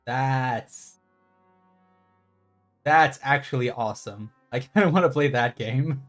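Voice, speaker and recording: A man's voice: mid-range voice, slow pace, fairly bright tone. A man streaming a game with casual, rambling commentary, wry and casually profane. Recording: stream with game music behind.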